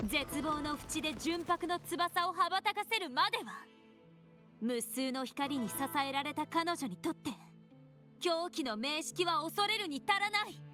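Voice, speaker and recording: An actress voicing a theatrical female bard who recounts heroic legends lyrically in a video game. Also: sits high. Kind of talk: dramatic storytelling in a video game.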